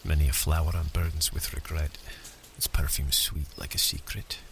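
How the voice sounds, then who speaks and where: An actor playing a brooding, portentous narrator who delivers solemn scripted lines to open an audio drama. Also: male voice, extremely low pitch, flat delivery, normal speed, slight background noise. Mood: brooding, hushed. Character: brooding male narrator reciting dark verse. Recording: music behind the voice.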